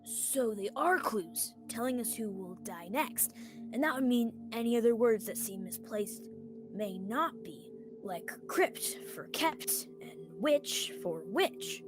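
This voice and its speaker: A young woman playing an anxious male small-town sheriff trying to seem calm, acted in radio theatre style. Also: fairly high pitch, takes it slowly, over music. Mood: focused. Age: young adult.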